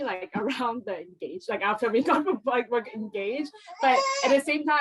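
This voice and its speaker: A woman who grew up in Hong Kong, Vancouver and Los Angeles, a restaurant co-owner, answering interview questions in a chatty, halting way. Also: mid-range voice, rough recording.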